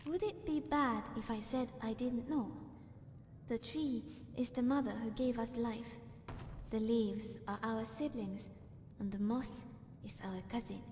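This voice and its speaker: Woman as a gentle woodland spirit, echoing. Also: a little high, measured pace, natural voice. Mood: gentle, unsure. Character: innocent young female tree-spirit creature, gentle, hesitant. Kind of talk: fantasy game dialogue. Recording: echoey hall reverb, faint noise.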